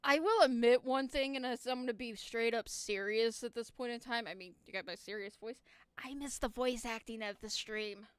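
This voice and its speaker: A woman's voice: a little high, normal speed, clear, resonant tone, dry recording. A woman co-hosting a live stream, teasing and giggling through playful banter. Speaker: stream co-host.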